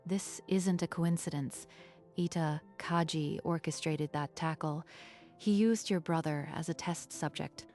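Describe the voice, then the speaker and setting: A woman plays a young, caring female confidante in an animated manga drama. She has a fairly low voice and an earnest, concerned, protective manner, with music underneath. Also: a little slow. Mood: grave. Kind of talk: animated manga dub acting.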